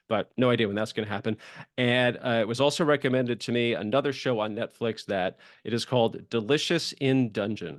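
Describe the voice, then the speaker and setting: A man's voice: mid-range voice, no echo, studio quality. A man hosting a podcast in a casual, chatty, enthusiastic conversational interviewing style. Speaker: podcast host.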